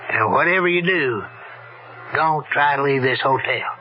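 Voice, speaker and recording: An actor playing a stern, shrewd sheriff with a blunt, commanding manner in an old-time radio drama. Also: male voice, mid-range voice, expressive, normal speed, natural voice. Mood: stern pressure. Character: stern, shrewd male sheriff questioning a suspect. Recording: dull old radio recording, faint noise.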